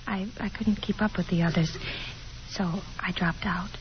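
An actress playing a shy, fragile, self-conscious young woman in a 1950s radio drama, timid and halting. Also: on the low side, steady tone, natural voice. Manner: timid, halting, soft-hearted. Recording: muffled, noisy 1950s radio broadcast.

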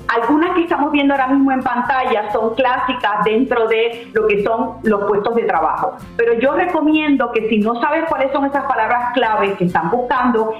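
A woman with a fairly high voice explains practical advice as an interview guest. She sounds instructive, like an adviser on live television. The audio is roomy and somewhat dull.

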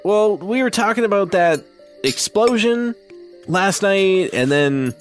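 A man's voice: high-pitched, lively intonation, slow pace, soft background music, voice pushed up. A man voicing an easygoing teenage boy who talks hesitantly and casually.

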